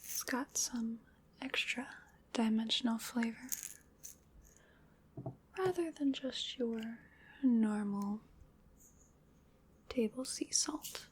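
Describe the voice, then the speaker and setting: Slow whispering vampire woman, acted out by a woman. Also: fairly high pitch, husky, poor audio. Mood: musing, indulgent. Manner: slow, coaxing, musing, with pet names. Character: playful, polite female vampire, hungry, darkly doting. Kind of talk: whispered ASMR roleplay.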